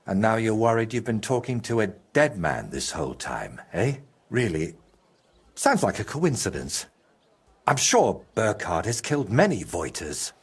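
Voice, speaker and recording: An actor playing a troubled, guilt-ridden medieval painter in a video game, with a husky, halting and brooding delivery. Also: male voice, on the low side, sing-song, exaggerated voice. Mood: guarded surprise. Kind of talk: video game dramatic dialogue.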